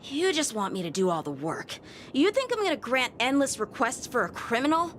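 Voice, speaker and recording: An actress voicing a proud young boy swordsman in a video game, earnest and quick to take offence. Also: high-pitched, big pitch swings, a bit bright, a little hiss or hum. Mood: indignant, impatient. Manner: earnest, indignant. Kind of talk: scripted game voice acting.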